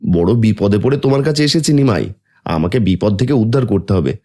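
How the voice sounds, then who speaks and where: A man playing a fast-talking village farmer. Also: fairly low pitch, expressive, warm tone. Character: village farmer, practical and persuasive.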